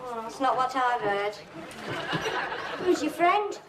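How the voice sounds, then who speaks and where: A sharp, teasing young woman. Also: high voice, expressive, slow pace, a crowd in the background. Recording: hissy old TV audio.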